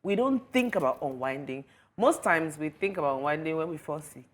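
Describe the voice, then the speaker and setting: A woman hosting a casual panel chat in a TV studio, animated and quick to laugh, repeating phrases for emphasis. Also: deep voice. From Nigeria. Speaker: talk show host.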